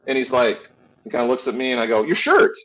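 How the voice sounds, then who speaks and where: A man's voice: mid-range voice, very animated, fast, fairly bright tone, lo-fi sound. A man in his forties hosting a casual sports talk show, chatting and telling personal stories in a rambling, boisterous way with free swearing. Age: 40s.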